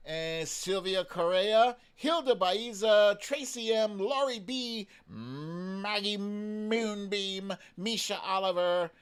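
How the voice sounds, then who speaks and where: A man's voice: sits high, slow pace, few pauses, a bit bright, clear, resonant tone. A man hosting a casual live stream, chatting playfully with exclamations as he addresses his viewers.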